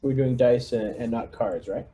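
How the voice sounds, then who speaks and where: A man's voice: medium pitch, normal speed, mellow. A man playing a tabletop game, casually giving tactical orders to the other players and asking about rules. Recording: faint background noise.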